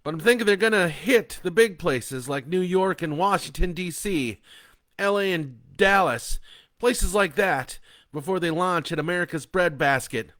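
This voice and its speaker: A man's voice: high-pitched, lively intonation, moderate pace, fairly bright tone, voice pushed up. A man voicing a middle-aged father and grandfather, speaking in a strained, urgent way.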